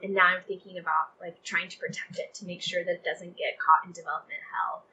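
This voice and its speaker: A woman's voice: fairly low pitch, little pitch movement, quick pace, pushed and bright, poor audio. Effusive young woman with background noise. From Texas, United States. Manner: effusive, emphatic, frequent "like". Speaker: playwright guest. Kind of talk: casual interview chat.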